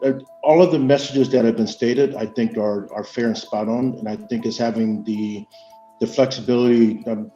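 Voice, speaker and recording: Dark-voiced American man, muffled and echoey. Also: fairly low pitch. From United States. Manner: hesitant, measured, frequent filled pauses. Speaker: panelist from industry. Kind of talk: panel discussion answer. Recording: muffled, echoey online audio.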